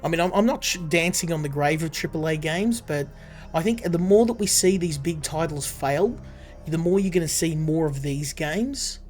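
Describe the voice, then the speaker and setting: A man streaming a game and chatting casually, rambling and correcting himself, his voice still a little rough from a cold. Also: on the high side, animated, normal speed, a little mellow, faint music behind. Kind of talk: casual live gameplay commentary.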